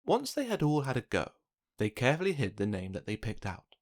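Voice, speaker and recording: A man narrating a children's picture book, reading aloud with expressive, dramatic emphasis. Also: medium pitch, fairly quick. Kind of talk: scripted storybook narration for children.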